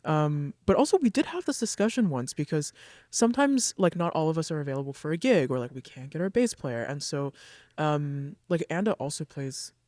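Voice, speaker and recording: A man's voice: on the high side, animated, a little fast, a little mellow, no room sound, studio quality. A man in a band talking in a casual interview, upbeat and chatty, laughing easily. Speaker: band member.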